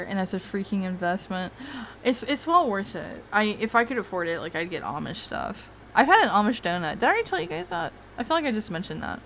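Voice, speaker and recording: A woman hosting her own stream talks in a loose, rambling way. She swears freely and breaks into laughs. Her voice sits at an ordinary middle pitch, with a faint room ambience under it. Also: a bit bright, a little hiss or hum. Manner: rambling, profane, frequent laughs. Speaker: streamer host. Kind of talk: casual livestream chat.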